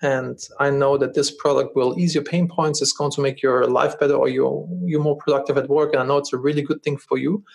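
A man's voice: mid-range voice, level tone, fairly quick, creaky, few pauses. A man with a German accent, a guest on a podcast, talking earnestly in a casual interview and often repeating words as he restarts. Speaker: podcast guest, business founder.

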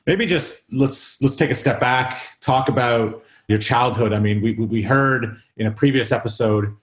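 A man's voice: medium pitch, normal speed. Man hosting a podcast in an echoey room. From South Africa. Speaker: podcast host. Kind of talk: casual conversational interview. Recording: roomy, echoing sound.

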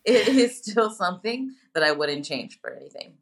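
A woman's voice: medium pitch, normal speed. A woman in her forties, a guest on a casual podcast interview, talking about travel with emphatic bursts and frequent laughter. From United States, near Mexico. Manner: animated, laughs often, emphatic.

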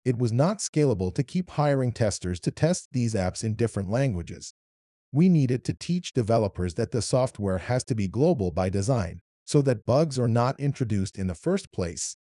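A man in his fifties from Iran with an Arabic-influenced accent, explaining his career in a measured way as an interview guest. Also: medium pitch, mellow, no room sound, clean studio sound. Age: 50s. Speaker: interviewee, localization leader. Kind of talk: read interview answers.

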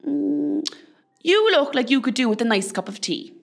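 Woman acting starstruck tea lady, Irish accent, very shrill high pitch, lively intonation, gushing, apologetic, comedy radio play. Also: normal speed, vocal fry, heavily acted. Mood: apologetic, chatty.

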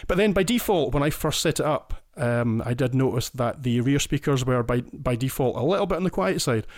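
A man's voice: medium pitch, lively intonation, fairly quick, dry recording. Chatty Scottish man, dry close sound. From Scotland. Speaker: guest listener. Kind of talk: casual explaining.

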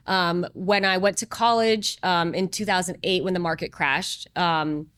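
A woman in her thirties speaks in a slightly low voice. She answers questions in an earnest, reflective way, full of ums and restarts. The sound is dry and close. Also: fairly even intonation, unhurried, a bit bright, smooth, dry recording. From moved from Singapore to Las Vegas as a child. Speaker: real estate agent, guest. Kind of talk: casual interview conversation.

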